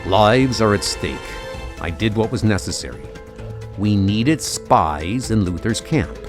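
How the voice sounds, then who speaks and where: A man's voice: lowish voice, lively intonation, a little slow. A man voicing a terse, stern vigilante hero in a comic-book audio drama, clipped and unapologetic. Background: loud music.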